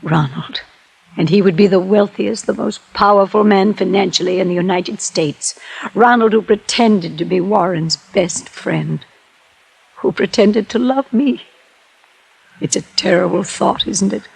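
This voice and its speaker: An actress playing a wealthy, shrewd older widow in a 1950s radio drama, formal and emphatic with a husky voice. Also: female voice, medium pitch, a little slow, darkish tone, rough recording. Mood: bitter.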